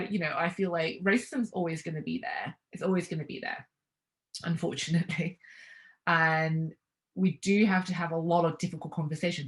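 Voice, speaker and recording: A young woman with a somewhat low voice, speaking in a relaxed, conversational way. She thinks out loud, restarts her sentences and laughs lightly between thoughts. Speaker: interview guest. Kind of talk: casual conversational interview.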